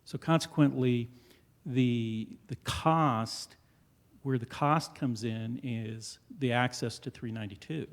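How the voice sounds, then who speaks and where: A man representing a developer, presenting to a town board in a council chamber, loud and full of fillers. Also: mid-range voice, slow. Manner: loud and hesitant, many fillers.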